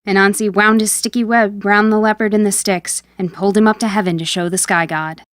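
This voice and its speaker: A woman with a medium-pitched voice narrates a storybook tale as host. Her scripted delivery is clear and measured. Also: steady tone, no echo, studio quality.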